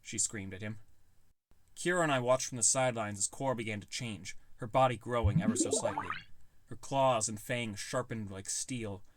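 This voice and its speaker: A man's voice: mid-range voice, moderate pace, slight background noise. A man reading scripted first-person fantasy narration as an audiobook narrator.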